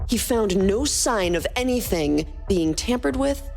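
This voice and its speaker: A woman with a mid-pitched, lively voice serves as co-host and narrator of a podcast. She reads stories and scripted banter with plenty of movement in her intonation, with music behind her. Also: a little slow, gravelly.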